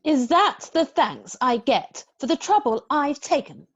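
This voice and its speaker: A woman playing a prim, brisk, kindly nanny in an amateur script read-through. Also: high voice, sing-song, dull audio, full character voice. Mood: cross, prim.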